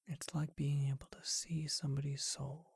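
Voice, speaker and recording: A performer voicing a charming, courteous, bookish vampire shopkeeper in a breathy, husky whisper, with genteel and hesitant phrasing, for an ASMR roleplay. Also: mid-range voice, level tone, moderate pace, a little mellow, no room sound, studio quality. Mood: musing, intimate. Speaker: bookshop owner.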